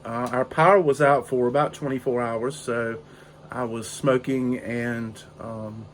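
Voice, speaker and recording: Hoarse older man, rambling. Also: mid-range voice, steady tone, a little hiss or hum. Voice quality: raspy. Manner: slow, rambling, with frequent fillers. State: hoarse from a cold. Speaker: pipe-smoking video host. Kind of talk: casual storytelling chat. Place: outdoor porch in drizzling rain.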